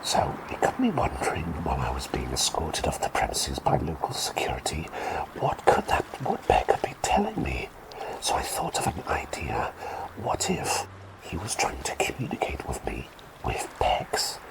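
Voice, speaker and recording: A man voicing a quirky male talking bird plague doctor, telling rambling bedtime stories in a soft ASMR whisper. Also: huge pitch swings, normal speed, full character voice, low-quality recording. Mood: gentle whisper. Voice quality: deep creaky whisper. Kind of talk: ASMR roleplay bedtime storytelling.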